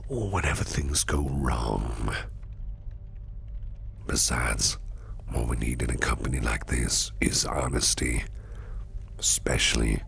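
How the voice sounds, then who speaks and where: A man playing a sinister, flirtatious businessman boss in an ASMR roleplay, speaking close to the mic in a gravelly, breathy near-whisper with a teasing, menacing purr. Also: very deep voice, fairly bright tone, slight background noise, heavily acted.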